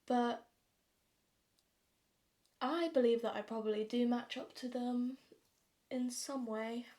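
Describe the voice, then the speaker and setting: Teen girl w a southern english accent, kinda high voice, chatty casual vlog talk, bit self-deprecating. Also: fairly even intonation, takes it slowly, dark tone. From London, England. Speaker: vlogger. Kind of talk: casual get-ready-with-me chat to viewers.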